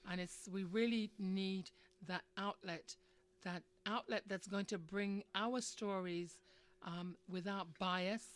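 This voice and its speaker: A woman's voice: mid-range voice, fairly even intonation, takes it slowly. A woman hosting a live radio discussion, speaking in a conversational, opinionated way and putting questions to her listeners. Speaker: radio show host.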